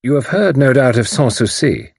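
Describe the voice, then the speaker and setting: A man's voice: medium pitch, animated, moderate pace, gravelly, a little mellow, slightly rough, no echo, studio quality, voice pushed up. A man doing a mocking spy commander. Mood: mocking, smooth. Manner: suave, mocking, then grimly threatening. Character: mocking menacing male enemy spy commander.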